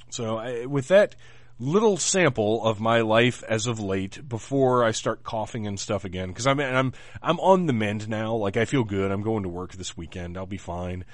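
A man's voice: lowish voice, no room sound. A man hosting a podcast, a little nasal and scratchy from a cold, talking in a rambling, self-deprecating way. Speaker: podcast host. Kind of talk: casual podcast chat.

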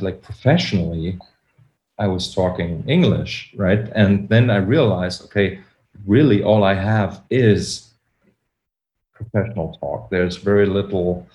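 A man's voice: deep voice, darkish tone. Guy chatting, keeps restarting sentences, echoey room. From Germany. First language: German. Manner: hesitant, searching for words, many restarts. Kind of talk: casual conversation.

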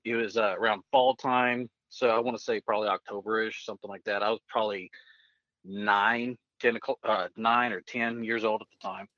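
Bright-voiced American man, dull lo-fi sound. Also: fairly low pitch, fairly even intonation, fairly quick, no echo. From northeastern Oklahoma, USA. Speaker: guest, retired Air Force veteran. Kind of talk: casual personal storytelling in an interview.

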